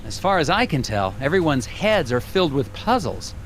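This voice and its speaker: An actor playing an earnest, puzzled FBI agent in an animated game, hesitant yet matter-of-fact. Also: male voice, a little high, moderate pace, a little hiss or hum, poor audio. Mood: frustrated.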